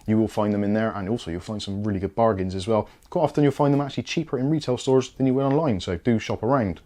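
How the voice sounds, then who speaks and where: A man's voice: lowish voice, quick pace, a little mellow. A British man giving a casual product review and unboxing, explaining things in a chatty, easygoing way.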